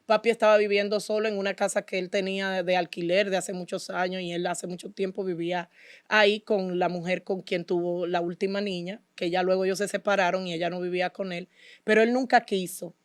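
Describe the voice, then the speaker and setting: A woman's voice: lowish voice, level tone, fairly quick, clean tone, dry recording, studio quality. A woman with a Dominican Spanish accent, speaking as an interview guest and telling her personal story in an earnest, devout way. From Dominican Republic. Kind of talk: personal testimony in conversation.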